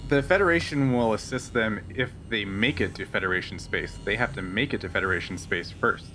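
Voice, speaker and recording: A man playing a guarded, bright-voiced smuggler pilot. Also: on the low side. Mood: matter-of-fact, defiant. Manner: halting, measured, evasive. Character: guarded, weary male smuggler pilot, resigned and defiant. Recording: faint background noise.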